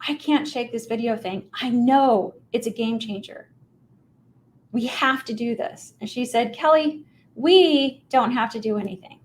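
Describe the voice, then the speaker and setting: High-voiced American woman giving motivational talk. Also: darkish tone. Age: middle-aged. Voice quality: high-pitched voice. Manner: emphatic, informal, direct address to listeners. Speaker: mortgage professional giving a talk on video marketing. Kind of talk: motivational business presentation, conversational storytelling.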